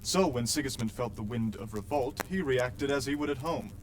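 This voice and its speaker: An actor as an earnest young nobleman in a medieval game, respectful and explanatory. His voice is mid-pitched, with a faint rumble behind it. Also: animated, moderate pace, lightly acted. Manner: polite, explanatory. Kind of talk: video game cutscene acting.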